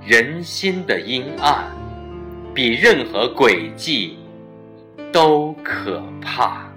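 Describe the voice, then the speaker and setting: A man's voice: mid-range voice, sing-song, very slow, bright, forceful tone, faint music behind. A man narrating a suspense audio story in a dramatic storytelling style.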